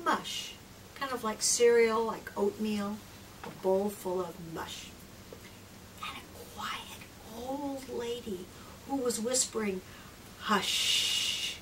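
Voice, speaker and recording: A middle-aged woman reads a picture book aloud to small children. Her delivery is slow, gentle and expressive, with drawn-out phrases and soft hushed moments. She is recorded at a distance from the microphone, with clear room noise behind her. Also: medium pitch. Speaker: children's read-aloud presenter and trainer. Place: small indoor room lined with picture books. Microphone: far camera microphone.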